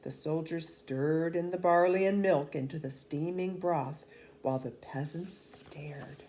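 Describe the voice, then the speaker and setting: A woman's voice: low voice, measured pace, dark tone, slight background noise. A woman reading a children's storybook aloud as storyteller, expressively and with dramatic emphasis.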